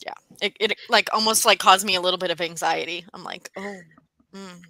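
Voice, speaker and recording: A woman's voice: lowish voice, fairly even intonation, fairly quick, sharp tone. A woman co-hosting a book discussion, chatting casually and tentatively, peppering her speech with "like.". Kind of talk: casual chat.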